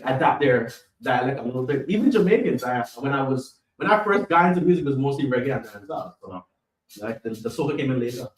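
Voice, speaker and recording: A Belizean man hosting a podcast, relaxed and a bit hesitant. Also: mid-range voice, quick pace. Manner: relaxed, hesitant, many fillers. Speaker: podcast host. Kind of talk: casual chat. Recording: echoey room, mic at a distance.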